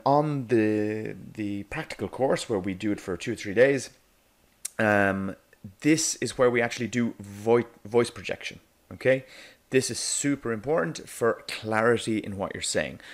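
A man teaching a negotiation course in a chatty, loose way, checking in with "okay?" and filling pauses with "um". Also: fairly low pitch. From Ireland. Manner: chatty, frequent "okay?" and "um" fillers, laughs lightly mid-sentence. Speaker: negotiation coach. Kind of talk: casual spoken course narration. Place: voice-over for an animated whiteboard video.

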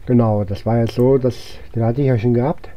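A man's voice: medium pitch, steady tone, fairly quick, mellow, muffled recording. A man giving casual let's play commentary as host, musing aloud to himself as he goes. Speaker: gaming channel host.

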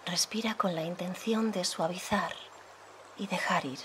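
A woman leading a guided meditation as a yoga guide, speaking softly and soothingly, unhurried, with pauses between phrases. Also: lowish voice, slight background noise. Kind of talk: guided meditation, slow calm instruction.

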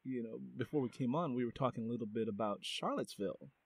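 A man's voice: medium pitch, fast, slightly rough, dry recording. A man hosting a book podcast, chatting in a friendly, rambling way with fillers and restarts. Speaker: podcast host. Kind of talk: casual interview chat.

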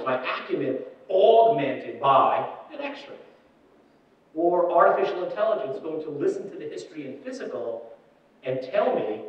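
A middle-aged man gives a keynote on a dark ballroom stage and hammers home points by repeating them. He is heard from well back in the hall, so his voice rings off the walls with a long echo. The sound is dull and muffled, as if taken through the room's speakers. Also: on the high side, distant mic. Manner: emphatic, quick asides, repeats key phrases. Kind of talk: conference keynote talk. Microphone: room PA picked up by a far camera.

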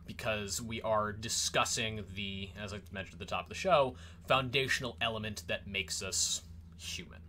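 A man co-hosting a podcast, listening with steady "mm-hmm"s and asking hesitant questions. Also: lowish voice, moderate pace, slight background noise. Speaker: podcast co-host. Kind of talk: casual philosophical discussion.

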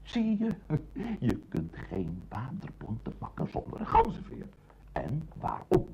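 A man's voice: medium pitch, creaky, dark tone, slight background noise, exaggerated voice. An actor playing a pompous, eccentric father who declaims nonsense wisdom in an absurd comic radio play. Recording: muffled old radio recording.

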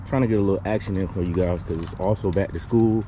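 Low-voiced man vlogging, noisy outdoors. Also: quick pace, dark tone. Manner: folksy, informal, repeats "y'all". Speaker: fishing vlogger. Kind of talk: casual chat to viewers. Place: outdoors on a wooden waterside boardwalk. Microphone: action camera, at arm's length or nearby.

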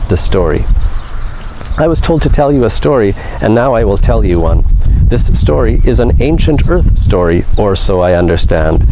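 A man's voice: medium pitch, close to an everyday voice. Man reading as prim alien storyteller, noisy outdoors. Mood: instructive, matter-of-fact. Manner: formal, literal, prim, slightly huffy. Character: polite octopus-like alien entertainer telling a story to humans. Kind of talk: storytelling, read aloud from a text. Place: open field outdoors. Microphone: camera a few metres away. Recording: outdoor noise from open-air camera.

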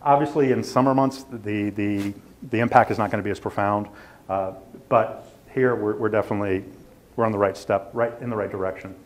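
A man with a fairly low voice gives an informal technical talk. He speaks haltingly, feeling his way through the points in a self-deprecating manner. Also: fairly even intonation. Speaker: company presenter. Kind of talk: informal technical slide presentation.

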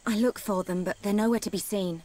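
An actress playing a steadfast, caring young woman soldier with a British accent, speaking in an earnest, measured way in a video game. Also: mid-range voice, a little mellow, close to an everyday voice. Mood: concerned, grateful. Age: young adult. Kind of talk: video game cutscene acting. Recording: game music behind the voice. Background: game soundtrack music.

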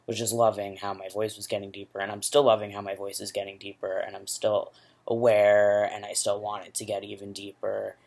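Young man, low gravelly voice, flat delivery, relaxed candid video diary, laptop webcam, small room. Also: a little fast. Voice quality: rumbly, gravelly. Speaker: vlogger. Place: small bedroom indoors.

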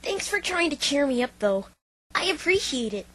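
A woman's voice: high voice, big pitch swings, moderate pace, a bit bright, dry recording. A woman voicing a brash, melodramatic cartoon pony with wounded pride and a blunt manner. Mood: subdued.

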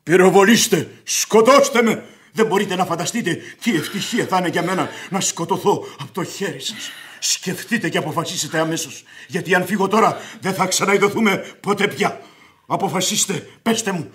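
A man's voice: sits high, fairly bright tone. An actor playing a hot-headed, blustering landowner in a radio play, stammering and impassioned as he falls for a woman. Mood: pleading, proud. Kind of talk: radio theatre acting.

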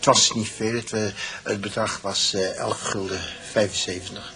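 A man with a Netherlands Dutch accent playing a sleepy, shaken petrol pump owner in a radio drama, halting and repeating his words. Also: lowish voice, fairly even intonation, sharp tone, low-quality recording. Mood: sleepy, hesitant. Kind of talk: naturalistic radio drama acting.

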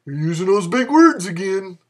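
A man's voice: high-pitched, very animated, moderate pace. A man voicing a clueless, easily awed young slacker in a dramatic story reading, with dopey, drawling slang. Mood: blank, impressed. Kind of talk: dramatic reading of flash fiction.

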